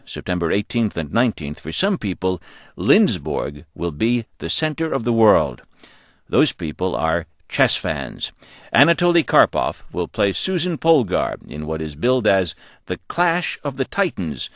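A man hosting a public radio interview, with a wry manner and drawn-out emphasis on words. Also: sits low, fairly bright tone, dry recording, studio quality. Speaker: radio host.

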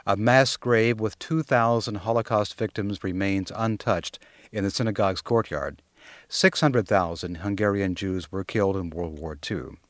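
A male radio news presenter introduces the segment in a crisp, matter-of-fact way. His voice sits fairly low. The recording is dry and close. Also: moderate pace, no room sound.

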